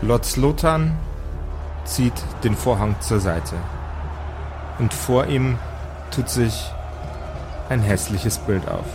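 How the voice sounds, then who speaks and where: A male game master tells a fantasy scene slowly and deliberately, breaking it into short dramatic phrases. His mid-pitched voice sits over prominent background music. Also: lively intonation. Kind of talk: improvised dramatic narration for a tabletop audio play.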